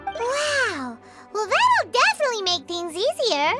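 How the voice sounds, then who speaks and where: A woman's voice: very high-pitched, a little slow, exaggerated voice. A woman voicing a small, chatty, floating fairy companion in a video game. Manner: chirpy, chatty, expressive. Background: loud game music.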